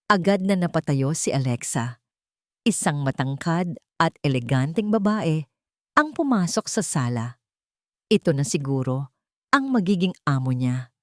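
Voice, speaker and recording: Female storyteller for romance audio fiction, low voice, very lively intonation, dry close sound. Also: dry recording. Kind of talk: scripted dramatic love story narration.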